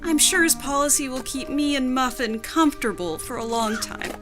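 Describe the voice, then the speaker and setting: A woman's voice: sits high, moderate pace, over music, lightly acted. An actress playing a newly widowed wife in an audio drama, demure, genteel and composed in her grief.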